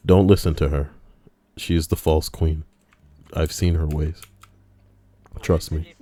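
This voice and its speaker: Sex: male. Role: streamer. Style: crude, rambling gaming banter. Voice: low. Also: level tone, measured pace, mellow, no room sound.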